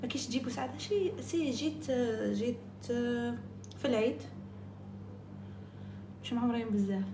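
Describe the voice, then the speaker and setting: A woman's voice: fairly high pitch, animated, normal speed, pauses often, roomy sound, noisy background. A woman with an Algerian accent chatting casually on a live stream, jumping between topics and mixing in French and English words.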